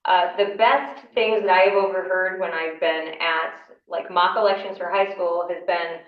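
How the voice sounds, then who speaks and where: A woman with a hoarse voice from a cold, explaining her work to a class in a conversational way with plenty of ums. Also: fairly low pitch, steady tone, moderate pace. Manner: conversational, explanatory, with frequent fillers. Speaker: county election official giving a class. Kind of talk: informal lecture. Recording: echoey room, mic far off, dull sound.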